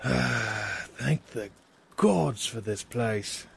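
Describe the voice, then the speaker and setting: A man playing a weary, polite but easily exasperated traveler in a fantasy audio drama, with a theatrical, halting manner. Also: medium pitch, creaky, husky, exaggerated voice. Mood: grumbling.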